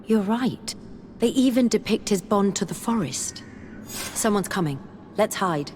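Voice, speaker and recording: An actress playing an earnest, scholarly young woman researcher in a fantasy video game, speaking in measured, formal phrases. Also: mid-range voice, expressive, noisy background, lightly acted. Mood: intent, curious. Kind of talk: video game dialogue acting.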